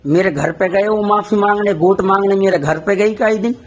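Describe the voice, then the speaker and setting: A man's voice: high-pitched, normal speed. A man arguing insistently on a phone call, repeating himself for emphasis. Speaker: caller on a recorded phone call. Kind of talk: heated phone conversation about local politics.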